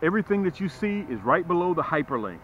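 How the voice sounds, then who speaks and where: A man with a fairly high, very lively voice talks to the camera as a fishing host and tackle seller. He is folksy and emphatic, repeating himself to drive points home. The sound is slightly muffled with some background noise. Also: quick pace. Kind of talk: casual sales pitch to camera.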